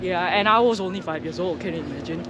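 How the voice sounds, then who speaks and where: A woman's voice: on the low side, quick pace, background noise. A young adult woman from Indonesia, a guest in a casual interview, speaking hesitantly with many fillers and restarts.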